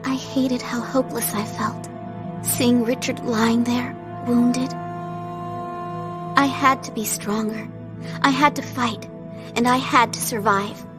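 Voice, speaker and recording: An earnest young female rookie in a dramatic video game. Her voice is high and her delivery slow and grave, with faint music behind her. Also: steady tone. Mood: determined.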